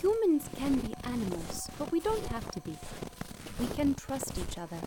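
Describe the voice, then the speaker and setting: An actress playing a gentle, steadfast, kind-hearted woman in an audio drama, speaking in a simple, sincere, deliberate way. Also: high-pitched, huge pitch swings, very noisy. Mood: earnest.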